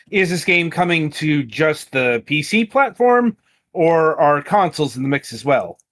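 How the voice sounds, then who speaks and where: A man hosting a live-streamed interview, asking questions in a measured, polite way. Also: a little high, a bit bright. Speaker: podcast host, interviewer.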